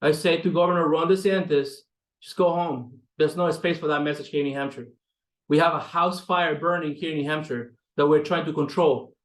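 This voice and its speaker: A man's voice: a little high, roomy sound, low-quality recording. A man in his thirties, an advocacy director, giving an impassioned statement on a virtual press call. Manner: impassioned, emphatic speech with fillers.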